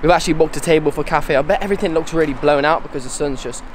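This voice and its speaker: A man's voice: on the high side, rapid-fire, a little hiss or hum. A young man chatting casually and laid-back to a handheld camera while vlogging outdoors on a sunny street and beach. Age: young adult. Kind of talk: casual travel vlog chat. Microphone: handheld camera mic. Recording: music playing over the voice.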